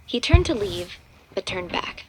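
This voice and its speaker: A woman's voice: medium pitch, sing-song, measured pace, darkish tone, a little hiss or hum. A woman reading scripted narration for a horror audio drama. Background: background music.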